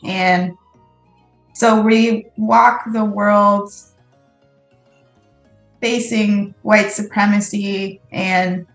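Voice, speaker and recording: A woman speaking as a podcast guest, thoughtful and measured, pausing often with ums. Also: medium pitch, steady tone, roomy sound, faint music behind. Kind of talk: casual interview.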